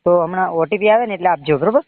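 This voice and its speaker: A man's voice: high voice, quick pace. A man with a Gujarati accent speaking as a loan agent on a recorded phone call, insistent, pushy and repetitive. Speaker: phone caller claiming to be a bank loan agent. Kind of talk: phone sales conversation. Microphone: phone line. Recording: muffled narrow-band phone call recording.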